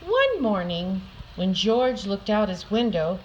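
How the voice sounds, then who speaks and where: Expressive woman reading stories, slight background noise. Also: medium pitch, slow, dull audio. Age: older. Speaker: storyteller reading a picture book aloud. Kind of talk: expressive children's story read-aloud.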